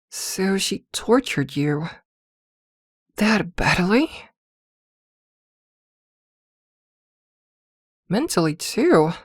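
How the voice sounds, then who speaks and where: Woman voicing a slow, low-toned possessive witch girlfriend. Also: slightly rough, dry recording, studio quality, heavily acted. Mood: dismayed, wry. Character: possessive, protective witch girlfriend, doting yet jealous. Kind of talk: ASMR girlfriend roleplay audio drama.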